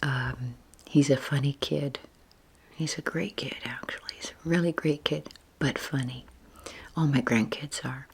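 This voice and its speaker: Breathy whispering older woman, very slow. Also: rumbling low, steady tone. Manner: unhurried, informal, self-deprecating. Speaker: YouTube video creator. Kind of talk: whispered relaxation ASMR, rambling personal chat.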